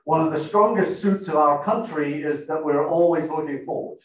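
A man with a funny non-American accent giving a measured lecture to a club meeting, pausing often with fillers. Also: fairly high pitch. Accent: non-American English. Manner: measured, explanatory, with frequent uh fillers. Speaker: guest speaker, professor. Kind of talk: lecture talk with slides. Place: meeting room. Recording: echoey room, distant, dull lo-fi.

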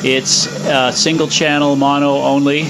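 Man, measured delivery, explanatory demonstration, matter-of-fact, faint music behind. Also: a little high, little pitch movement. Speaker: presenter demonstrating a vintage radio. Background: radio playing music and stations.

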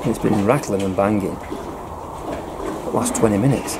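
A middle-aged man, chatting casually to the camera about airgun pest control from inside a farm building. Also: normal speed. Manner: low-key, chatty, fillers like "you know". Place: farm building loft, indoors. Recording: noisy outdoor-farm ambience.

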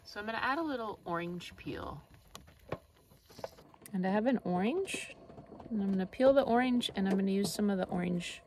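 A woman's voice: medium pitch, normal speed, long pauses. Laid-back woman cooking in a noisy car. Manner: relaxed, rambling, thinks aloud with soft laughs. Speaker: vlogger. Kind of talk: casual cooking vlog. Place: inside a parked car. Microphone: phone camera at arm's length.

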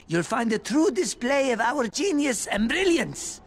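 A man's voice: extremely high pitch, very animated, unhurried, exaggerated voice. An actor playing a smug, eccentric male inventor who talks in grand, theatrical phrases. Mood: grandiose. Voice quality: pompous, theatrical. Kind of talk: video game voice acting.

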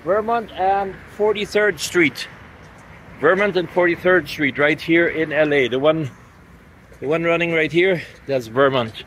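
Higher-voiced middle-aged man vlogging on the street. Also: unhurried, fairly bright tone, low-quality recording. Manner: addresses viewers directly, pointing out sights. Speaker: travel vlogger. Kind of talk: casual walk-around vlog narration to camera. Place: outdoors on city streets and parking lots. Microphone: phone or camera at arm's length. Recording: outdoor street and vehicle noise.

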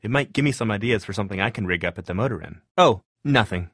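A nerdy, mild-mannered survivor in a zombie video game. His voice sits fairly low and is recorded close and dry. He talks in an earnest, slightly hesitant way and turns pedantic when he explains things. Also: animated, fast, a bit bright, no echo. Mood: curious, thoughtful. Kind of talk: naturalistic video game voice acting.

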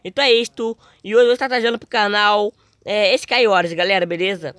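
A young man with a Brazilian accent and a slightly hoarse voice, doing casual, slangy gameplay commentary as a video host. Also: high voice, a little fast, fairly bright tone, no room sound. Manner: informal, slangy.

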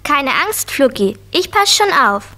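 A woman's voice: sits high, sing-song, unhurried, heavy background noise. A woman playing an eager, playful preschool girl in a children's audio play, childlike in manner. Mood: confident, delighted.

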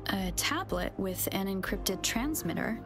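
A woman's voice: mid-range voice, expressive, a little slow, lightly acted. An actress voicing a level-headed young woman adventurer thinking aloud in a video game, thoughtful and matter-of-fact. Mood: pensive. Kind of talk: video game inner monologue. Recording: faint game music behind.

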